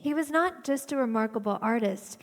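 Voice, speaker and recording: An actress playing a proud, protective sister-in-law, speaking with emphasis in a theatrical stage monologue. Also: female voice, on the high side, lively intonation, brisk, a little hiss or hum, natural voice. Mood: proud, defensive. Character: proud sister-in-law defending a misunderstood artist. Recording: reverberant hall, stage performance.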